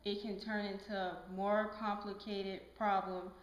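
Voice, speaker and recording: A woman's voice: mid-range voice, level tone, unhurried, natural voice. Woman as instructive lady educator in a puppet skit, firm and emphatic, roomy echo. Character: patient, firm female health educator in puppet show. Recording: echoey room.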